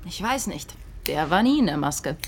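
A woman's voice: low-pitched, sing-song, normal speed. An actress playing a flirtatious, chatty makeup artist in a radio play, with music behind her. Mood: gossiping.

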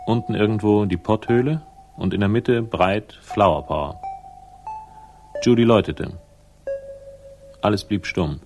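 A man's voice: on the low side, natural voice. An actor playing a wry, world-weary male private investigator, narrating dryly in a 1960s German radio crime drama. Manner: dry, wry, ironic. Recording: music behind the voice. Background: music.

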